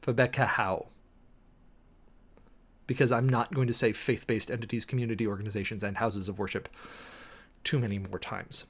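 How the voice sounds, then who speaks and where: A man giving a dramatic reading and close commentary in a formal, deliberate, sermon-like manner with emphatic pauses. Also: on the low side, level tone, a little hiss or hum. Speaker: preacher and commentator hosting a show.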